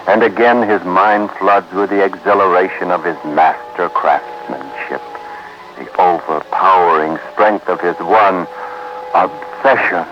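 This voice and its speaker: A man narrating a 1950s radio thriller in a dramatic, ominous, florid style. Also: low voice. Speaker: announcer and narrator. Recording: dull vintage radio recording. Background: dramatic music.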